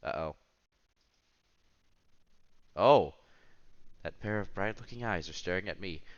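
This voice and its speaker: A man's voice: low-pitched, slow pace, muffled recording. A man reading visual novel narration aloud as a streamer, expressively, with casual asides and exclamations.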